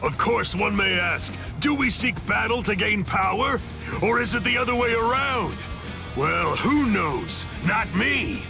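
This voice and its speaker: A man's voice: sits high, lively intonation, a little slow, vocal fry, exaggerated voice. A man voicing a menacing, taunting inner spirit in an anime game, with a sneering, theatrical delivery. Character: menacing, taunting male inner hollow spirit craving battle. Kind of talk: English anime game dub acting. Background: music.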